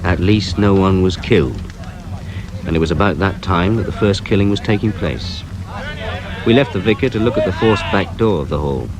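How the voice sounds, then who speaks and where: Guy acting as detective telling his story in a radio play, low voice, sombre. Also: normal speed, lightly acted. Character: sombre male detective narrating his case. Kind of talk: scripted first-person narration in radio drama.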